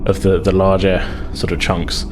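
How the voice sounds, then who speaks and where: A man acting as game master, narrating and improvising a tabletop role-playing game in a halting way. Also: low voice, level tone. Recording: music behind the voice.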